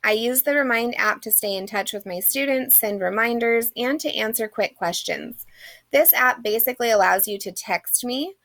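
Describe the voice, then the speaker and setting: A woman teacher records a friendly, rambling lecture while sick, and her voice is fading a little. Her tone has a gravelly, fried texture, with lots of small "um"s between points. The sound is close and dry, with no room around it. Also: a little high, moderate pace, a bit bright, no room sound. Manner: friendly, chatty, many "um"s. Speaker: online English instructor. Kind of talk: recorded screen-share lecture.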